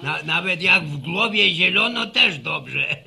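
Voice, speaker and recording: Creaky, animated old village grandfather. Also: high voice, unhurried, full character voice. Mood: wry, amused. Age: older. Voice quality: creaky, gravelly. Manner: stammering, repeating words. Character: garrulous, muddled old village grandfather fussing over his mare. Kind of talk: Polish radio serial acting, rural family drama.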